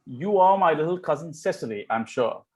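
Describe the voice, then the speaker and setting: A man reading a flippant, charming young gentleman in a Victorian comedy table read, playful with formal phrasing. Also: on the high side, expressive, brisk, lightly acted.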